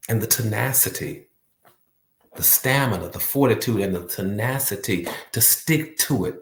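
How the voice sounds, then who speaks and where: A man's voice: on the low side, reverberant. A man giving a motivational talk with a repetitive, preacher-like cadence. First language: not French. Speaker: motivational speaker.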